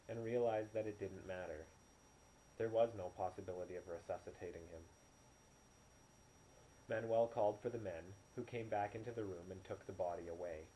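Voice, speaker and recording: A man's voice: fairly low pitch, dark tone. A man reading a novel aloud as an amateur storyteller in audiobook style. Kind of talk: audiobook narration. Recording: noisy, poor-quality home recording.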